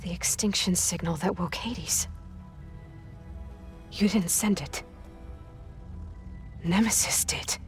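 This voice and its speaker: A woman playing a determined young warrior in a video game, earnest and guarded, often dropping into a hushed, intent delivery. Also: on the low side, slow pace, long pauses. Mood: grim realization. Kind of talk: video game cutscene acting. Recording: background music.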